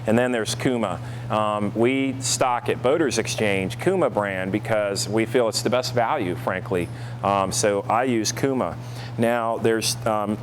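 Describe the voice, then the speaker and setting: A man giving a casual product review as store staff, explaining informally with hesitant pauses. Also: on the low side. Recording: noticeable background hum and noise.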